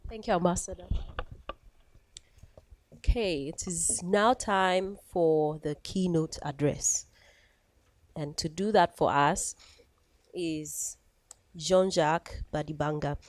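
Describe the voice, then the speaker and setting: Low-voiced woman hosting a ceremony. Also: slow, long pauses, no echo. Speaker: master of ceremonies. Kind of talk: formal event introduction.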